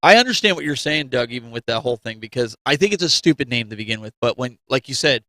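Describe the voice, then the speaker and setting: A man's voice: mid-range voice, animated, quick pace, pushed and bright, dry recording. A man hosting a gaming talk show, debating casually and emphatically, often repeating his phrases. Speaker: host of a gaming news show.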